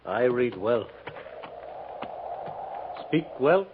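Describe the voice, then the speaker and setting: Slow, halting young brave. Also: male voice, medium pitch, sing-song. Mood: proud, solemn. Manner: slow halting broken speech, clipped phrases. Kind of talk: 1950s radio western acting. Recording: muffled, noisy 1950s radio recording.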